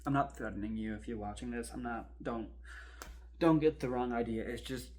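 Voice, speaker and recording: A man playing an anxious, bitter man terrified of death, speaking in halting, intense bursts in a confessional horror drama. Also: medium pitch, darkish tone, lightly acted. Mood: desperate, defiant. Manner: halting, intense, breaking phrases apart.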